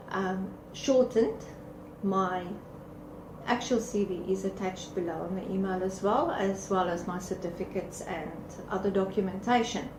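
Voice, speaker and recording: A woman's voice: mid-range voice, a little mellow. A woman in her fifties presenting herself for a job in a formal, confident way. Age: 50s. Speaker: job applicant. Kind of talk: prepared self-presentation. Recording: echoey room with clear background noise.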